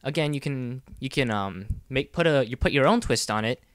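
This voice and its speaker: A man's voice: medium pitch, animated, moderate pace, smooth, dry recording, clean studio sound. Easygoing man explaining audition steps. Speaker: series creator giving audition instructions. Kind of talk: casual scripted tutorial narration.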